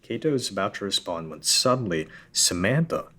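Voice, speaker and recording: A man reading the stage directions and narration of a script at a table read in a steady, matter-of-fact way. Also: lowish voice, normal speed.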